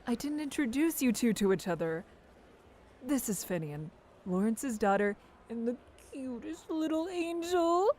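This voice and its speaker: A woman's voice: a little high, lively intonation, measured pace, darkish tone, a little hiss or hum. A woman playing an affectionate, teasing adult family friend in an audio drama, chatty and breezy. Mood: doting, teasing.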